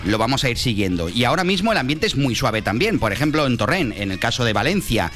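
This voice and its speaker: Meteorólogo de radio con voz media. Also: male voice, medium pitch, very animated, fast talker, fairly bright tone. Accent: Spanish from Spain. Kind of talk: conversational weather forecast.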